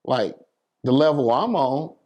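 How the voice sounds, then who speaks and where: A man's voice: mid-range voice, animated, measured pace, a little mellow, lo-fi sound. An American man, a DJ in an interview, explaining things casually and often checking that he is understood. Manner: explanatory, repeats "you know what I'm saying". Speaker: DJ and entertainer being interviewed.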